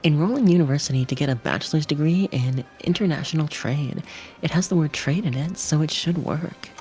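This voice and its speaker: A streamer reading a visual novel game aloud in a lively narrating style. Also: mid-range voice, a little fast, soft background music.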